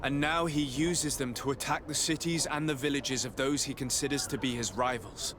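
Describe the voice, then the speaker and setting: An actor playing an earnest young nobleman in a video game, speaking formally and gravely as a resolute envoy. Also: medium pitch, steady tone, normal speed, noisy background, natural voice. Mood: firm persuasion. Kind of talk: dramatic video game dialogue.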